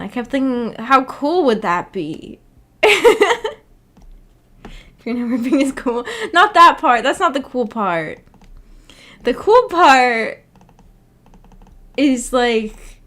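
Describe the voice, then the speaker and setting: Higher-voiced, giggly woman streamer. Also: a bit bright. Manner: giggly, rambling. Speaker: streamer hosting a book club discussion. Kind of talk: casual livestream chat.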